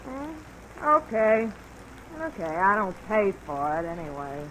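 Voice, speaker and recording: Bright-voiced, indifferent counter clerk. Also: medium pitch, a little slow, rough recording. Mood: bored, snippy. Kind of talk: old-time radio drama acting. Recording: old radio recording, steady hiss.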